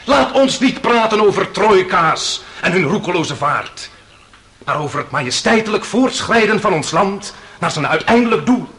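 An actor playing an impassioned defence lawyer making a grand, rhetorical appeal to a jury in a radio play. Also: male voice, sits high, animated, moderate pace, fairly bright tone. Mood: grand, rousing. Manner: rhetorical, declamatory, emphatic. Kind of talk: Dutch radio play acting, courtroom oratory.

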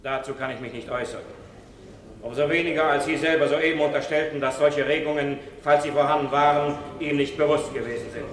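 A man acting a righteous, rational witness in a 1950s courtroom radio drama, speaking in measured, precise, formal sentences. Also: on the high side, fairly even intonation, fairly bright tone, slight background noise, lightly acted. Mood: firm, guarded. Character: righteous, rational male witness, firm champion of reason. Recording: echoey old radio studio recording.

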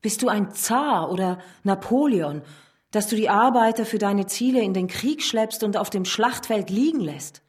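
A woman's voice: medium pitch, expressive, moderate pace, natural voice. An actress playing a stern, accusing female revolutionary in a radio drama, intense in manner. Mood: reproachful. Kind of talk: German radio drama acting.

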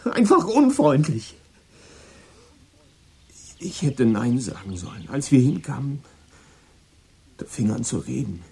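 A guilt-ridden teenage boy in a radio play. His voice is very husky and soft-toned, with some fry. He speaks haltingly, often doubling words as he gets agitated. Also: medium pitch, sing-song, full character voice. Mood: bitter, agitated, then anguished. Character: troubled, guilt-ridden sixteen-year-old American boy confessing. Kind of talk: German radio drama acting.

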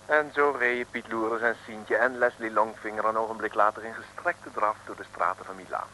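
A man's voice: lowish voice, fairly even intonation, fairly quick, bright, forceful tone. A man narrating a vintage radio serial in a wry, chatty, tongue-in-cheek storytelling style. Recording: noisy old radio recording.

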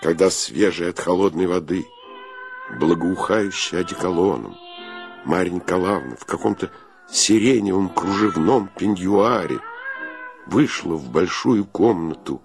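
An actor narrating a comic radio play as a wry male storyteller with a gravelly voice and a measured, dryly ironic delivery. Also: low voice, music in the background. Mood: measured storytelling.

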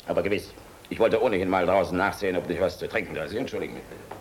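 An actor in a German radio drama playing a proud, defiant army general with a curt, dry, sardonic way of talking. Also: male voice, sits low, racing, heavy background noise, close to an everyday voice.

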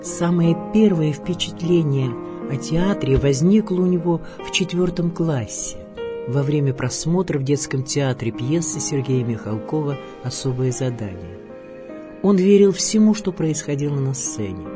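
A man's voice: fairly high pitch, animated, moderate pace, over music. A man reading a scripted biographical narration as the presenter of a radio programme.

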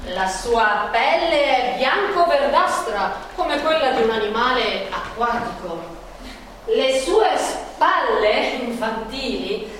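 An actress playing a passionate, anguished woman painter in love, in a slow, poetic, declamatory theatrical monologue. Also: on the high side, a bit bright, a little hiss or hum. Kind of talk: theatrical monologue with sung Spanish passages. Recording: echoey hall, distant mic, guitar music.

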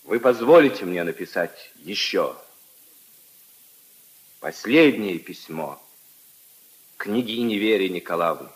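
A heartsick petty official in an old radio play, deep voice, earnest n polite, restrained. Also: male voice, expressive, slow, pauses often, low-quality recording. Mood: resolute, pleading. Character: lovelorn minor official, resigned, earnest, polite man. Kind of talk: 1950s Russian radio drama acting.

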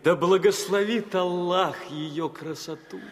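Fairy-tale audio play: gracious young caliph, fairly high voice, noble and a little wistful, in reverberant space. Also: male voice, measured pace. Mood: pleased, generous. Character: young, kindly, melancholy caliph of noble bearing. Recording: long hall reverb.

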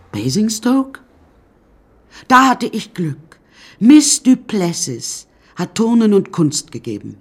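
A woman's voice: lowish voice, a little mellow, husky. An actress playing a vain, self-dramatizing woman artist who pompously narrates her life to listeners in a radio play.

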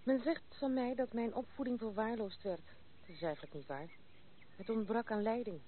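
A woman's voice: a little high, normal speed, close to an everyday voice. An actress playing a proud, blunt, tomboyish young noblewoman in a Dutch radio play, frank and self-assured. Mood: candid, reminiscing. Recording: tinny, band-limited, noisy old radio recording.

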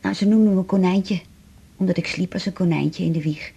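A wounded woman patient in a radio drama. Her low voice is deeply husky and crackles with fry as she haltingly looks back on a hard life. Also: mellow, muffled recording. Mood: subdued, remembering. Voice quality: husky, gravelly. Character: wounded female patient recalling a hard childhood. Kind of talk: naturalistic Dutch radio drama acting.

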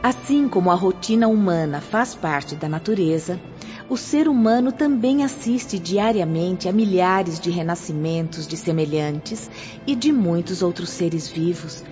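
A serene, reverent spiritual apprentice played by a woman speaks. Her voice is mellow, dark and a little low, in solemn radio-drama recitation. The audio is slightly dull. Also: expressive, a little slow. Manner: earnest, solemn. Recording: dull lo-fi audio, faint music.

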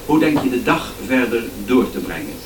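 Echoey, distant radio host. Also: male voice, medium pitch, sing-song, normal speed. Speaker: radio host interviewing by radio link. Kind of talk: live broadcast two-way radio interview. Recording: echoey, distant, noisy radio recording with clicks.

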